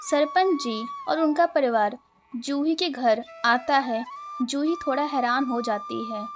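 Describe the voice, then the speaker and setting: A woman narrating a children's cartoon moral story. Her voice is high and unhurried. She tells it gently, in a scripted storybook style. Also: music in the background.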